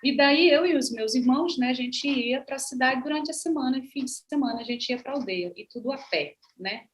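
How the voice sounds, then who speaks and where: Hesitant woman from Maranhão, Brazil. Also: high-pitched. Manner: unhurried, hesitant, frequent "né" tags. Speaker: artist and actress, panel speaker. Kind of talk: panel talk, personal account.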